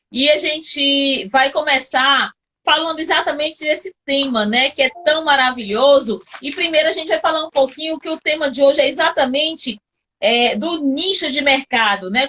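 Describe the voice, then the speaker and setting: A Brazilian woman with a high voice co-hosts a live online chat over a video call. She talks in a warm, chatty way, often tagging her sentences with little questions. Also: moderate pace. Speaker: co-host.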